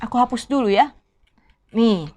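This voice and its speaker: A woman's voice: fairly high pitch, expressive, unhurried, darkish tone. A woman teaching a casual online language lesson, chatty, asking her viewers questions and slipping in English words.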